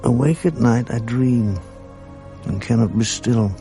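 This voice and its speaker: An actor playing a brooding narrator in a 1980s radio drama, speaking slowly and gravely. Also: male voice, mid-range voice, dark tone, faint music behind. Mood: haunted. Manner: slow, grave, reflective. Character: brooding male narrator, haunted by the dead.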